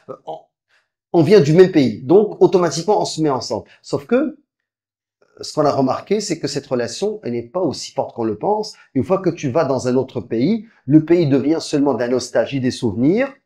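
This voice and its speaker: A man giving a religious lecture, explaining step by step, often restarting his sentences and quickly reciting Arabic verses. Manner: frequent halting restarts, lively swings in pitch and volume. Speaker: preacher.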